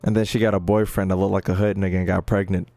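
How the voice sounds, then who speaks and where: A man's voice: sits low, level tone, fast, warm tone. An American man giving casual livestream game commentary, joking and swearing in loose slang. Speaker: game streamer. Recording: game music behind the voice. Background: video game music and dialogue.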